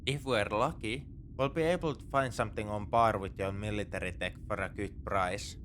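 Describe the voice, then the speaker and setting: A man playing a begrudgingly soft-hearted space pirate captor in a sci-fi audio roleplay, speaking to the listener in an exasperated, dismissive, teasing way. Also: fairly low pitch, moderate pace, a little hiss or hum, natural voice. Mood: wry, practical. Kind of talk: sci-fi ASMR roleplay acting, addressing the listener.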